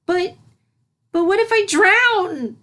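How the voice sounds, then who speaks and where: A very high, childlike unicorn filly for a storybook. The little pupil is eager and easily unsettled, and speaks with more force than the storyteller. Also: female voice, expressive, slow pace, sharp tone, heavily acted. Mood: nervous, reluctant. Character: young unicorn filly, eager pupil, anxious about water. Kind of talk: storybook character voice in fan fiction reading.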